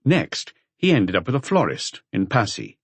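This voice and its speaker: A man's voice: medium pitch, sing-song, moderate pace, breathy edge, no echo. A man reading scripted crime fiction as an audiobook narrator.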